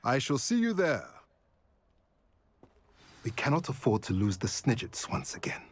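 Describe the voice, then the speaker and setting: An actor playing a wise, solemn centaur seer in a video game, speaking in a formal, measured, oracular way. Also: male voice, mid-range voice, huge pitch swings, slightly rough, full character voice. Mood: grave, prophetic. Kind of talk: dramatic video game cutscene acting.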